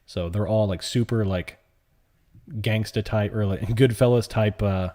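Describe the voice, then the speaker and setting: Low, mellow-voiced man chatting casually. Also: steady tone, a little slow. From born in New York City, raised in the US South. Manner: rambling, conversational, answers chat questions as they come in. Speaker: livestream host and options trader. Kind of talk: casual live-stream chat.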